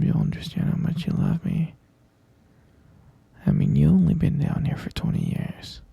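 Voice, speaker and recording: A man voicing an obsessive, tender yet menacing kidnapper boyfriend in a whispered ASMR roleplay, slow and coaxing, breathy and raspy, close to the microphone. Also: on the high side, dark tone, no echo, full character voice. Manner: slow, coaxing, repetitive endearments.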